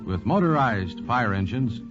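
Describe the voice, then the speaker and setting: Male narrator, scripted 1940s radio delivery, very lively intonation, muffled vintage recording. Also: medium pitch, a little slow, music in the background.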